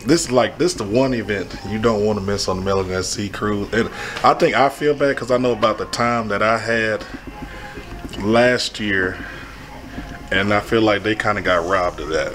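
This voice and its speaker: A man in his thirties with a hoarse voice, vlogging casually to camera on a cruise, apologetic and rueful. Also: on the low side, moderate pace. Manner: apologetic, rueful, then joking. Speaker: travel vlogger. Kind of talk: casual vlog chat. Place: cruise ship restaurant and corridors. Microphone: handheld camera at arm's length. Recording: noisy crowd and loud music behind.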